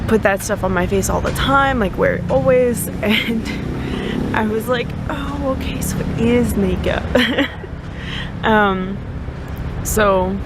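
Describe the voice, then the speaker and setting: A woman's voice: medium pitch, vocal fry, background noise. A young adult American woman chatting casually to the camera as a vlogger. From United States. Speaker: vlogger on a mission trip.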